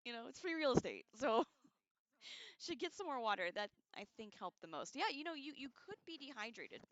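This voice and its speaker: A woman hosting a casual livestream, chatting to viewers in an excitable, giggly way with loose, profane slang. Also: sits high, moderate pace, no echo, muffled recording. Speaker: livestream host. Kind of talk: casual chat to live viewers.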